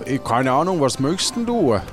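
A man acting as a pirate mate in an audio play, with a medium-pitched voice. He teases and goads in a casual, improvised way over soft music. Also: big pitch swings, moderate pace, natural voice. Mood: teasing, goading. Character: teasing, goading male pirate companion.

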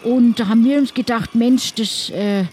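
A woman playing an earnest, chivalrous pirate adventurer, chatty and halting, in an improvised adventure audio play. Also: fairly high pitch, steady tone, dark tone, slight background noise, lightly acted. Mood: inquisitive, scheming.

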